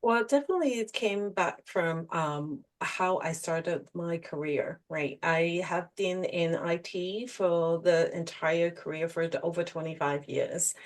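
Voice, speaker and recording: A woman's voice: fairly low pitch, a little slow, few pauses. A middle-aged woman, talking conversationally as an executive coach being interviewed on a podcast. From Hong Kong.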